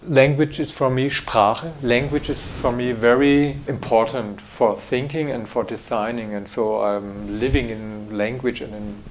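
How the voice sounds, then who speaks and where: A middle-aged German graphic designer answering interview questions in English with a German accent, hesitant and full of fillers and restarts. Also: male voice, medium pitch, steady tone, a little slow, hardly any pauses, a little hiss or hum.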